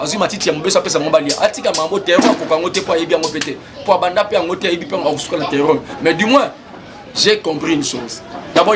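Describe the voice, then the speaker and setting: A man with a Congolese French accent speaking in an indignant, emphatic way, often stumbling over repeated words in a heated street interview. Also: fairly high pitch. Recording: crowd noise around.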